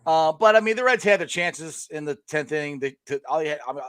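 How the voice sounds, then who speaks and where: Middle-aged male sports-talk host, rambling storyteller, somewhat high voice that swings widely, dry close sound. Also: fast talker, clear, resonant tone, no room sound. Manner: rambling, animated storytelling with frequent restarts and laughs. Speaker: podcast host. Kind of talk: casual sports chat.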